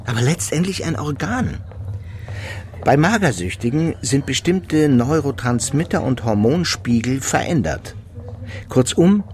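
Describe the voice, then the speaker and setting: A middle-aged male psychiatrist in a radio play, patient and clinical, lively pitch, faint noise behind. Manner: measured, lecturing, clinical. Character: male psychiatrist, knowledgeable, matter-of-fact, explaining patiently. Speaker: actor in a radio drama. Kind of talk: radio drama dialogue.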